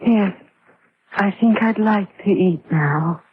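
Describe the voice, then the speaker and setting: A woman's voice: medium pitch, slow pace, lightly acted. An actress playing a listless, drowsy sleepwalker who draws out her words in an old radio horror drama. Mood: weary, pleading. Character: weary, listless sleepwalking woman. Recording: tinny, narrow, hissy old radio recording.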